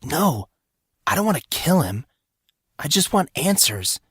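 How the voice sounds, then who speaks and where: A man's voice: on the high side, big pitch swings, unhurried, fairly bright tone, slightly rough, no echo, clean studio sound, pitched above the performer's own voice, exaggerated voice. A man voicing a desperate, anguished fourteen-year-old boy in an audiobook. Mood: pained, pleading. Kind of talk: audiobook character acting.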